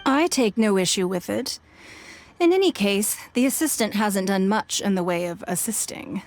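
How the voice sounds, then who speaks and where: An actress playing a poised, authoritative noblewoman acting as judge in a game cutscene, with a measured, formal delivery. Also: mid-range voice, lively intonation, close to an everyday voice. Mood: composed.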